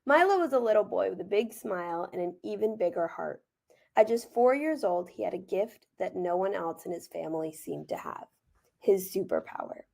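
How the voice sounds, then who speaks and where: A woman reading a children's picture book aloud as author and teacher, gentle and expressive, with informal asides. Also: mid-range voice, moderate pace, vocal fry.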